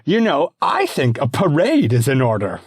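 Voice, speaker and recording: A man voicing a giddy, childish Roman emperor, shrill and gleeful. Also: wildly swooping pitch, moderate pace, breathy edge, no echo, clean studio sound, heavily acted.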